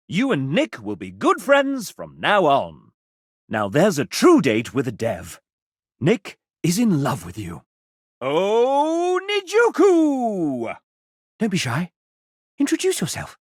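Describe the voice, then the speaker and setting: An actor playing a flamboyant, formal dating game show host in a video game, with a theatrical, courtly and exaggerated delivery. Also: male voice, high voice, huge pitch swings, slow, no room sound, studio quality, exaggerated voice. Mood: showy, playful.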